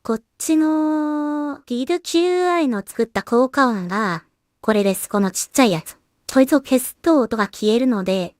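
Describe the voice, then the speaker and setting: High-voiced woman, casual musing commentary. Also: moderate pace, no echo, clean studio sound. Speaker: solo creator and voice actor. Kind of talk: casual commentary while editing, talking to herself.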